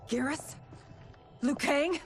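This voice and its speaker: A regal warrior ruler in a video game cutscene. She speaks slowly and haltingly in a breathy, near-whispered voice, raised a little in pitch and full of tenderness. Music plays clearly behind her. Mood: bewildered.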